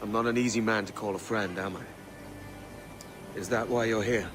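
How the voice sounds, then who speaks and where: An actor playing a remorseful, plain-spoken pirate captain in a video game cutscene. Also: male voice, on the low side, steady tone, measured pace, over music, close to an everyday voice. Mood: rueful, weary. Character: weary, remorseful pirate captain, adult man.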